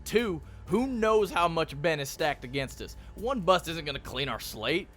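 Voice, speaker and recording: A man's voice: a little high, lively intonation, a little slow, a bit bright, faint music behind. A man playing a skeptical, hard-nosed cop on the run, arguing bluntly in a radio play. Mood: argumentative. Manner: blunt, argumentative.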